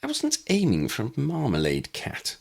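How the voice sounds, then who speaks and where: A man voicing a brisk, bossy but affectionate woman in an audiobook. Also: medium pitch, huge pitch swings, gravelly, husky, voice pushed up, exaggerated voice. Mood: exasperated. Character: brisk, exacting adult witch, bossy yet affectionate. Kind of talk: audiobook character reading.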